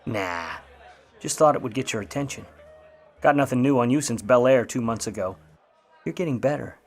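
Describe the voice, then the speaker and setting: An actor playing a wry, grudging, hard-boiled Hollywood private eye of the 1950s in old radio noir style, full of slangy wisecracks. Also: male voice, medium pitch, lively intonation, normal speed, soft background music, lightly acted. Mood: sardonic, needling. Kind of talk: old-time radio noir acting with narration.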